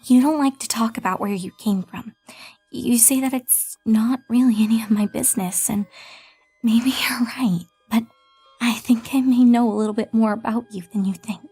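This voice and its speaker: A woman voicing a young female pony scholar, earnest, gentle and hesitant, in a fan audio drama. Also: a little high, moderate pace, slightly rough, no echo, faint music behind. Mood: earnest, probing.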